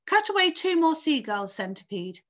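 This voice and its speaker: A woman reads as an urgent, commanding young boy from a children's storybook. The voice is pitched high and exclaims its short orders. The recording is slightly muffled. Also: big pitch swings, moderate pace, a bit bright. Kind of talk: children's storybook read aloud.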